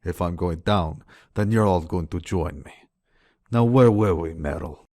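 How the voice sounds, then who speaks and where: Deep-voiced gloating assassin. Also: male voice, mellow, no room sound, clean studio sound. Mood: threatening. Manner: taunting, theatrical, deliberate. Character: menacing, gloating Russian male knife-wielding assassin. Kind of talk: radio drama acting.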